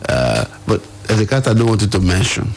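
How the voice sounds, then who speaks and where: A man's voice: lowish voice, sing-song, fast, gravelly, soft background music. A Nigerian man hosting a live radio talk show from a studio, speaking conversationally and putting questions straight to his listeners. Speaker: radio host.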